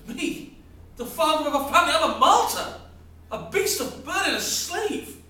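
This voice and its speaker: A man's voice: extremely high pitch, lively intonation, unhurried, a bit bright, slight background noise, exaggerated voice. An actor playing a harried, overworked family man who is desperate and out of breath, pleading and bursting into rants. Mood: ranting. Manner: pleading, gasping, ranting in outbursts. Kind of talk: theatrical stage acting. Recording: echoey hall from far off, faint ambience.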